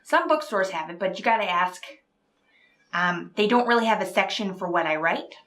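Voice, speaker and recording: A woman who speaks in an earnest, halting, apologetic way, as a guest novelist on a comedy show. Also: medium pitch, animated, a bit bright. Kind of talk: dramatic reading of romance prose, then casual interview talk.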